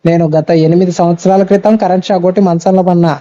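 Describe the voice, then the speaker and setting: A man's voice: sits high, steady tone, quick pace, dark tone. A man with a Rayalaseema Telugu accent giving earnest, admonishing advice as a preacher, repeating phrases and checking that the listener agrees. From Kurnool, Andhra Pradesh, India. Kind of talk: advisory phone conversation. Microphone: phone call. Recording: dull lo-fi phone call recording.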